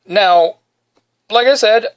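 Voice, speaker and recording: A man hosting a podcast from his bedroom, telling a self-deprecating story in a casual, animated way and singing short snippets quietly. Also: takes it slowly, clear, resonant tone. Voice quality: baritone. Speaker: podcast host.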